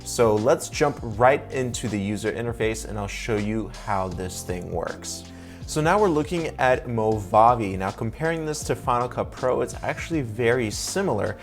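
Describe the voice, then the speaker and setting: A man's voice: mid-range voice, lively intonation, normal speed, soft background music. A young man hosting a sponsored video-editing tutorial, explaining each step in an upbeat, casual way. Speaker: video editor and tutorial host. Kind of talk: sponsored software tutorial walkthrough.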